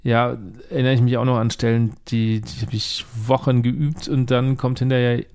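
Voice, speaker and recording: Relaxed male interviewer, conversational. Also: mid-range voice, moderate pace, smooth. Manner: relaxed, conversational, shares his own stories.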